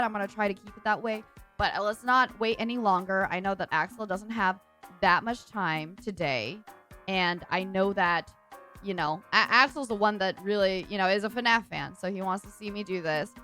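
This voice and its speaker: A woman live streamer chatting casually with viewers, rambling with lots of fillers, her voice a little deeper than usual today. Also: a bit bright.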